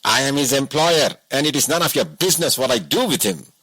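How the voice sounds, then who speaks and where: A man's voice: mid-range voice, lively intonation, brisk, fairly bright tone, breathy edge, dry recording, pitched above the performer's own voice. A man voicing an angry, blustering boss in an audiobook story.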